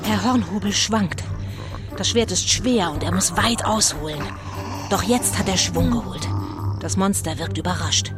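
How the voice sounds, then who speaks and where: A woman playing an excitable eyewitness narrator in a children's spooky audio drama, telling it as suspenseful live commentary. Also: fairly low pitch, measured pace, over music, natural voice. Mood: suspenseful, awed. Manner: suspenseful, shifting from whispers to cries. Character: excitable, fearful female eyewitness narrator of a ghost battle.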